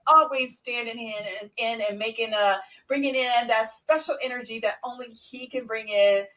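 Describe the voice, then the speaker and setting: A woman hosting an online talk show with excited introductions and banter, emphatically repeating words for effect. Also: on the high side, normal speed.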